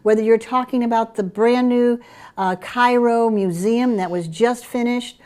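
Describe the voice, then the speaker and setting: Woman, middle-aged, Dutch Caribbean accent, upbeat travel pitch, slightly high voice. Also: a little slow, darkish tone. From Curaçao. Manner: enthusiastic sales pitch with fillers. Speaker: travel program presenter. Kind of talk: promotional chat about group tours.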